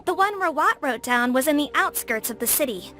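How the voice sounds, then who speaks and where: An actress voicing a practical game character who runs checks and gives facts in a matter-of-fact way. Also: female voice, high-pitched, animated, a little fast, music in the background. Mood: confident. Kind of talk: video game voice acting.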